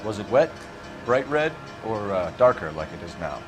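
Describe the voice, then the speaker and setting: An actor playing a brisk, formal 1940s police detective in a game, businesslike and polite as he questions people. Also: lowish voice, big pitch swings, music in the background. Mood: probing, polite.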